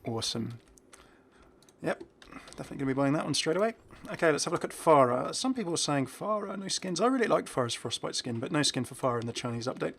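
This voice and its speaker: A man's voice: fairly high pitch, big pitch swings, fast, vocal fry, fairly bright tone, slight background noise. A man giving casual, enthusiastic running commentary as he reviews a video game update.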